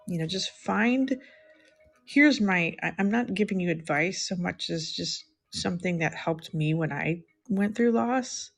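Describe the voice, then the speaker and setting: A middle-aged woman chatting softly and unhurriedly with viewers while she draws on a livestream. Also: on the low side, gravelly, husky. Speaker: live-streaming artist. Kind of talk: casual livestream chat while drawing.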